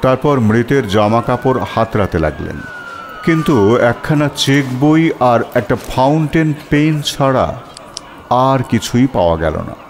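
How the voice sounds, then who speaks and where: A man narrating a scripted detective story as an expressive, descriptive storyteller. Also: medium pitch, a little slow, a little hiss or hum.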